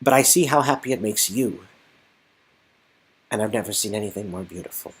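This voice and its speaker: A man's voice: fairly low pitch, a little fast, husky, low-quality recording. A man voicing a simple-hearted, sincere young man in love in a story game. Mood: adoring.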